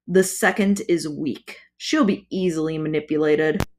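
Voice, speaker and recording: Booming fiery god, as a woman puts him on. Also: steady tone, a little mellow, a little hiss or hum. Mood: gloating menace. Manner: slow, booming, gloating. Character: menacing fiery male god, commanding and cruel. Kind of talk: audiobook character voice.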